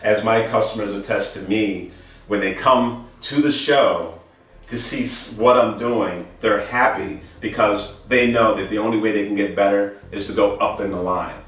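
A man's voice: fairly low pitch, fairly even intonation, normal speed, fairly bright tone. A middle-aged man presenting products informally as an audio dealer, emphatic and fond of repeating phrases. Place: indoor wood-floored listening studio. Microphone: handheld camera a few meters away. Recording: echoey room, distant camera mic, music behind.

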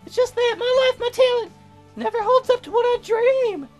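A man voicing a dramatic, romantic young woman songwriter from a visual novel in a gushing falsetto. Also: normal speed, soft background music. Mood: wistful, dramatic. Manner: melodramatic, gushing.

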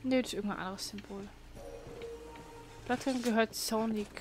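A woman's voice: a little high, fairly even intonation, normal speed, a little mellow, soft background music. A woman chatting casually as co-host during a let's play of a video game. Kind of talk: casual chat during gameplay.

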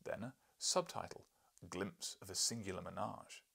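A man's voice: low-pitched, normal speed, gravelly, pushed and bright, husky, full character voice. A man voicing a huge, domineering professor in an audiobook, pompous, mocking and emphatic. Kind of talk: audiobook character voice.

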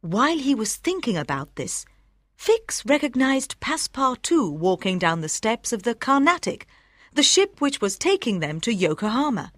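A woman reading scripted storybook narration for language learners, with careful, expressive enunciation. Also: a little high, moderate pace, no room sound, studio quality. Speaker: audiobook narrator.

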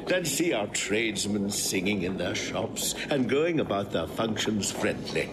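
A self-satisfied Roman politician in classical audio drama. He gloats at a slow, measured pace with animated pitch, over noisy ambience. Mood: smug.

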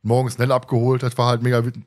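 A man's voice: medium pitch, monotone, quick pace. A man hosting a podcast in casual chat, with a colloquial, jokey way of talking. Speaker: podcast host.

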